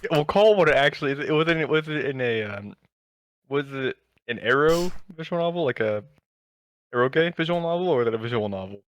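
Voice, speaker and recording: A man's voice: fairly high pitch, expressive, a little fast, slight background noise. A young man co-hosting a casual podcast, bantering and interjecting in a slangy way. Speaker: podcast co-host.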